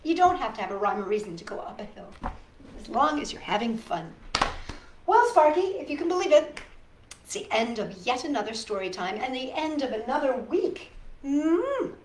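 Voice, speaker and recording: A woman with a high voice hosts storytime for little children in a roomy, echoing space. She is playful and sing-song, inviting young listeners in with questions. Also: sing-song, moderate pace, slight background noise. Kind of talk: reading picture books aloud and chatting to young children. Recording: roomy echo.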